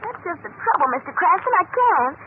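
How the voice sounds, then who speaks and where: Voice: very high voice, very animated, fairly quick. An actor playing a scrappy, slangy young boy, loyal and defensive, in 1940s radio drama style. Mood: hesitant, worried. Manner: slangy, exclaiming, boyish. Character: scrappy street-kid boy, defensive and loyal to his dad. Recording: tinny, hissy old-time radio broadcast.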